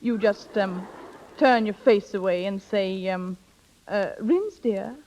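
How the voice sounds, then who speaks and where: An actress playing a scheming, playful older sister in brisk, knowing 1930s radio drama style. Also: female voice, mid-range voice, darkish tone, slight background noise, lightly acted, poor audio. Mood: coaxing, scheming. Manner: brisk, knowing, theatrical, coaxing. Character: bossy, scheming, playful older sister coaching younger one. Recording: vintage 1930s radio broadcast.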